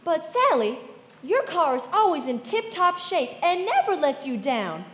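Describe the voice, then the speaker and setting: A woman's voice: extremely high pitch, sing-song, unhurried, heavily acted. A woman playing a wide-eyed, gushing friend in an old-time radio commercial, with exaggerated gee-whiz earnestness. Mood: gee-whiz, eager. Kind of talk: 1940s radio advertisement acting. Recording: echoey hall, stage live, music behind.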